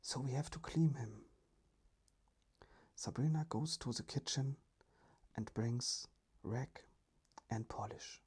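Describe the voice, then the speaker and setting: A storyteller reading a children's story in a hushed, careful ASMR whisper. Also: mid-range voice, takes it slowly, pauses often, slightly rough.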